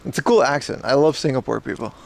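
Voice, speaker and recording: A man's voice: medium pitch, expressive, fast talker, gravelly, slight background noise. A man chatting casually on a walking livestream, reading chat aloud and joking with mock outrage. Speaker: IRL streamer. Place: outdoors on city streets.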